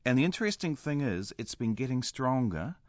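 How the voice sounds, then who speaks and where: Man, New Zealand accent, radio host, playful questions, mid pitch, dry studio mic. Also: sing-song, a little fast, dry recording. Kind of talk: radio interview. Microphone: studio microphone.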